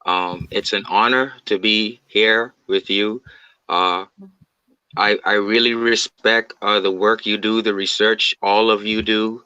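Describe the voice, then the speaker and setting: A man from the Bahamas calling in as a guest, speaking politely and haltingly with many fillers over a video call. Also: on the low side, steady tone, bright, forceful tone, smooth, no room sound, highs cut off. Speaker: guest caller. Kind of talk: casual fan chat.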